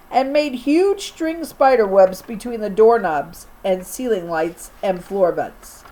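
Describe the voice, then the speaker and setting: A woman reads a children's story aloud as a teacher would for her class. Her voice sits a little high, and she narrates expressively. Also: a little mellow, rough recording. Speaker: teacher reading to fourth grade class.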